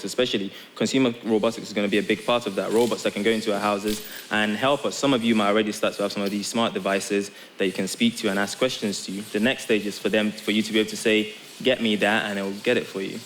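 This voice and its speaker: A man from Nigeria speaking as a guest in a live on-stage interview, pausing often as he explains. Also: lowish voice, fairly even intonation. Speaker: guest tech company founder. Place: theatre stage before an audience. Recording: live stage, clear hiss noise.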